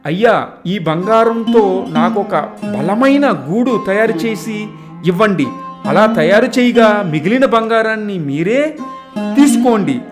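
A man's voice: high voice, animated, takes it slowly, over music. A man voicing a humble, kind-hearted little sparrow who pleads politely in a storybook tale. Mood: polite request. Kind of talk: storybook character voice.

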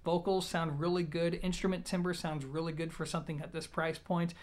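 A man in his thirties giving a product review to camera in a measured, organized way. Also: a little high, level tone. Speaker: audio gear reviewer. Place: small plain indoor room.

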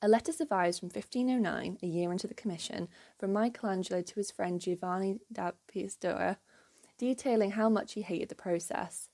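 A woman narrates a history lesson in a clear, measured way. Her voice is mellow and warm. She tells the story with quiet enthusiasm and moderate pitch. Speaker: history channel host. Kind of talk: scripted educational narration.

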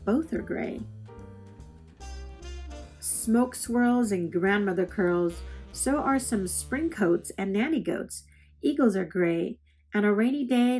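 A woman's voice: mid-range voice, darkish tone. Woman reading children's poetry over guitar music. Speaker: read-aloud host. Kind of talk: expressive poetry read-aloud for children. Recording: music playing under the voice. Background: plucked guitar music.

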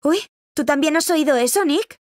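A woman's voice: high-pitched, expressive, moderate pace, a little hiss or hum. A woman voicing a small, cautious young snowman boy in a video game, childlike, with a Castilian Spanish accent. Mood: puzzled. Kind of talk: video game cutscene acting. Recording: behind loud game music.

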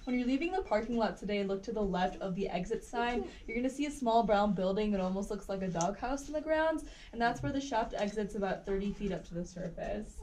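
A woman's voice: mid-range voice, fairly quick, few pauses, darkish tone. Female cave guide, chatty and informative, distant echoey cave sound. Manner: chatty and informative, light laughs. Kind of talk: guided tour talk to a group. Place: inside a large cave. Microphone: camera held by a visitor some distance away.

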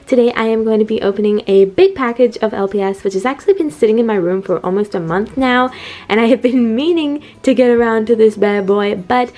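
A woman's voice: fairly high pitch, fairly quick, dark tone. A woman with a stuffy, blocked nose from a cold, chatting casually and giggling while unboxing toys for her channel. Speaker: toy collector vlogger.

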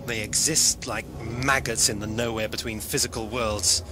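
A man's voice: on the low side, fairly even intonation, close to an everyday voice. A man playing a grave time traveller, noisy backdrop. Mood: grave, ominous. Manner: grave, ominous, deliberate. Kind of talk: audio drama acting. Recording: noisy sound-effects bed behind.

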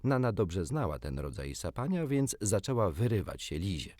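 A man with a lowish voice reads a children's story expressively. His pitch moves in lively swings, and the recording is dry and close. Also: normal speed, no echo. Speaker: audiobook narrator. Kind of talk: expressive scripted storybook narration.

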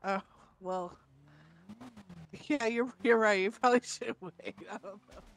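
A woman's voice: mid-range voice, a little slow. A woman chatting casually in character during online game roleplay.

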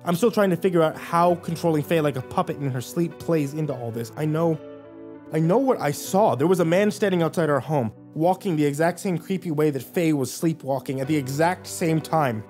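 Narrator, male, higher-pitched voice, tense hushed horror telling, soft music bed. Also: brisk. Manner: tense, hushed storytelling with acted quotes. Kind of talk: first-person horror narration.

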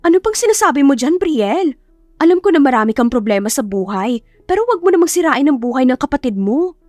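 A woman's voice: high-pitched, sing-song, brisk, a little mellow, no room sound, studio quality, soft background music. A woman voicing an exasperated young female friend who pleads and scolds in a dramatised audiobook. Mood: exasperated, incredulous. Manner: protesting, reasoning.

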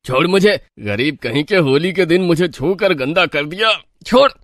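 A man voicing a cruel, greedy old village moneylender, bossy and scornful, in an animated story. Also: a little high, moderate pace, dry recording, studio quality. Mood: angry.

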